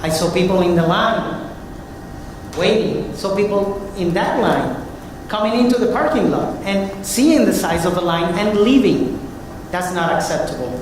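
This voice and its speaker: Man, witness, earnest formal testimony; distant mic, boomy hall. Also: lively intonation, unhurried, background noise. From Puerto Rico. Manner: earnest, reading a prepared statement. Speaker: hearing witness, military veteran. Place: large hearing room. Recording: echoey hearing room from far off.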